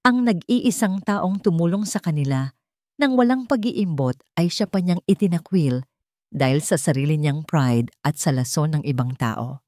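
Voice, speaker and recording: A woman's voice: deep voice, expressive, moderate pace, a little mellow, dry recording, clean studio sound. A woman narrating a scripted romance story in a dramatic storytelling style.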